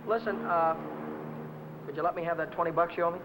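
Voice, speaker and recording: An actor playing a restless young auto mechanic short of money, with an American accent and breezy, slangy talk in old crime film dialogue. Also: male voice, fairly high pitch, fairly even intonation, quick pace, close to an everyday voice. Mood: eager, friendly. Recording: noisy old 1950 film soundtrack.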